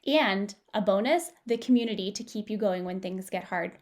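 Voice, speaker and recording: A woman's voice: mid-range voice, normal speed, a little mellow. A young woman hosting a podcast, speaking with upbeat enthusiasm and laughing often. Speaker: podcast host. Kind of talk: podcast promo and interview.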